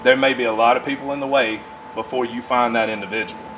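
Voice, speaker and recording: A man in his forties talking casually as a podcast guest, in an earnest, halting way as he thinks aloud. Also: mid-range voice, steady tone, background noise. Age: 40s. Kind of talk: casual conversation.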